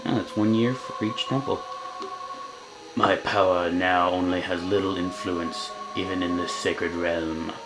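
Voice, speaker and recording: A man's voice: low-pitched, fairly even intonation, unhurried, low-quality recording. A man voicing a solemn old sage from a fantasy video game, speaking in portentous storytelling fashion over music.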